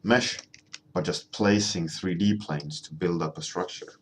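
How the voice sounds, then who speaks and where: A man in his thirties from Sweden with a deep voice, chatting casually and rambling as he streams himself drawing, answering his chat at a desk microphone. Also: a little hiss or hum. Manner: rambling, halting, many ums and restarts, chuckling, answering chat. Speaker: artist streaming a drawing session.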